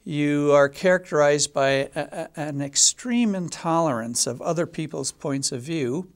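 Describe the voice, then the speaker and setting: A man in his seventies hosting a local TV panel discussion, speaking haltingly with frequent pauses and fillers. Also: fairly high pitch. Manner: hesitant, many filler pauses. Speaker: talk show host.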